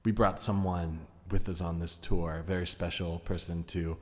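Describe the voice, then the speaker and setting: Low-voiced, halting folk frontman Seth, acted by a man. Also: level tone. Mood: earnest, awkward. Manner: hesitant, halting, repeats himself. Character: Seth, earnest, awkward, pleading activist folk-band frontman. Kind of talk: improvised comedy.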